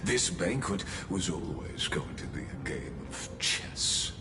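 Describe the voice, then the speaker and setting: A theatrical scheming scholar, slow and deep. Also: male voice, sing-song, background noise, exaggerated voice. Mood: sly, probing. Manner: theatrical, grandiose, drawn-out delivery. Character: theatrical, cunning male scholar, slyly flattering a ruler. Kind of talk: video game voice acting. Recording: music under the voice.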